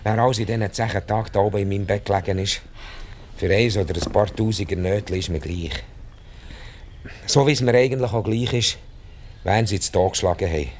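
An older actor with a Swiss accent playing an ailing, ruthless rich emigrant in a radio drama, halting, blunt and short of breath. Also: male voice, lowish voice, slight background noise, natural voice. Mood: bitter, cold. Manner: halting, blunt, world-weary monologue. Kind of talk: radio drama acting in Swiss-coloured German.

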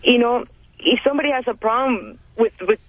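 A woman's voice: fairly high pitch, brisk, husky, no echo. A woman from El Salvador with a Salvadoran Spanish accent and Spanish as her first language, calling in to a radio show and chatting with plenty of fillers. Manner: chatty, laughs often, many fillers. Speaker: caller. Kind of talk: call-in radio conversation. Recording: tinny phone line.

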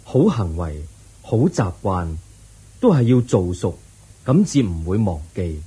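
A man playing a calm, wise Buddha. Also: sits low, unhurried, breathy edge. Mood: serene. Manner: calm, patient, instructive. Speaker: narrator and lead actor. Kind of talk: radio drama acting.

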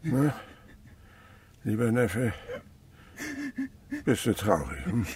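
An actor playing a gruff, sly, miserly older husband and uncle in a radio drama, grumbling and sarcastic. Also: male voice, lowish voice, sing-song, very slow, a little mellow, full character voice. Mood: feigned kindness. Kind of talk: German radio drama acting.

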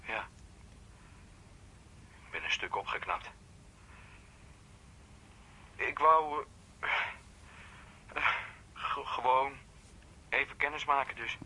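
Volatile, husky, sharp-voiced jilted boyfriend. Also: male voice, mid-range voice, expressive, slow, pauses often, full character voice, rough recording. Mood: subdued, regretful. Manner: agitated, stammering, repeating himself. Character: volatile jealous young man in therapy, jilted boyfriend. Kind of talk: naturalistic radio play acting.

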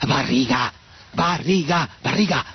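A man's voice: mid-range voice, measured pace, close to an everyday voice. A man in a radio drama playing a frightened shipwrecked captive, pleading politely and stammering. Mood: hungry despair. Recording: dull radio drama audio, faint noise.

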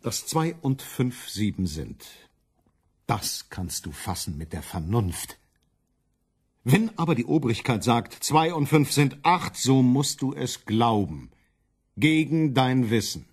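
Slow, husky dogmatic reformer. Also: male voice, medium pitch, expressive, full character voice. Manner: dogmatic, measured declaiming. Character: stubborn, self-righteous reformer monk, man, loyal to princes. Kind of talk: German radio play acting.

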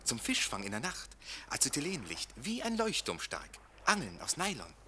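A man's voice: mid-range voice, wildly swooping pitch, normal speed, noisy background. A man in a German radio play acting a worldly boatman and grotto guide, coaxing, servile yet commanding. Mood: brisk, coaxing.

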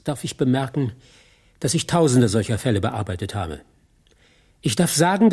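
An actor playing a pompous, self-certain insurance man testifying in a radio play, formal and emphatic, with a raspy voice. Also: medium pitch, darkish tone. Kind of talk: German radio play acting.

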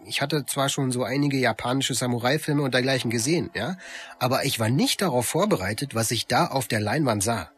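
Actor as excited mid-pitched movie fan. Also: male voice, a little fast, lightly acted. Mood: excited, awestruck. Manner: excited, emphatic. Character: excited male martial arts moviegoer, awestruck fan. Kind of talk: conversational scripted radio play.

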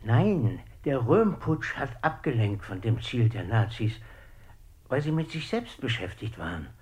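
A male eyewitness answering in a documentary radio play. He is emphatic and matter-of-fact, quick to contradict. His middling-pitched voice swings widely in intonation. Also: very animated, a little mellow. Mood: firm, contradicting. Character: opinionated male eyewitness looking back, firm and contradicting.

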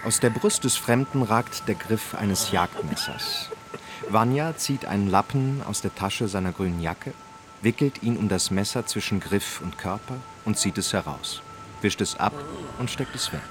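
Man as radio crime narrator, low pitch, sober, measured, standard German, music underneath. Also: animated, natural voice. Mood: sober, detached. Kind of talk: scripted present-tense narration in a radio drama.

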